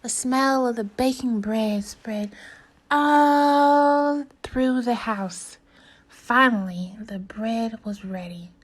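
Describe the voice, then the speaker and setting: A woman with a fairly high voice reads a children's story at a slow pace. Her delivery is deliberate and instructive, like a teacher guiding young listeners through a lesson and putting questions to them. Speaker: teacher reading aloud to children. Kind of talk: slow storybook read-aloud and lesson for kids.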